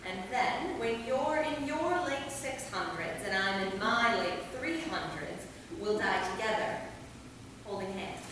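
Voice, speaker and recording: A woman's voice: mid-range voice, expressive, a little slow, close to an everyday voice. A woman playing a tender, hopeful, devoted immortal centuries old in a staged play reading. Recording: echoey hall, distant mic, clear noise.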